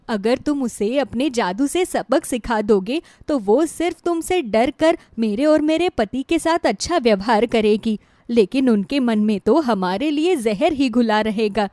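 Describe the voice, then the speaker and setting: A woman voicing a gentle, sorrowful elderly mother in a cartoon. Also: fairly high pitch, dry recording, close to an everyday voice. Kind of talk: cartoon dialogue.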